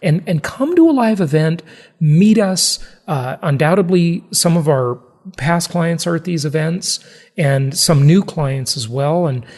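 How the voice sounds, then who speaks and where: Male podcast host, fairly high-pitched. Casual and conversational, salesy, stresses points, likes to kid around. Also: expressive, a little slow, a little mellow. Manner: emphatic, salesy, joking.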